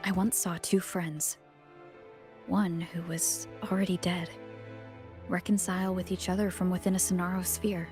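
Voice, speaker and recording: A woman playing a gentle young adventurer in a video game, speaking softly and earnestly to comfort someone. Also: lowish voice, flat delivery, moderate pace, close to an everyday voice. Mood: gently reassuring. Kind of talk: English video game voice acting. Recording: game audio with music behind. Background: background music.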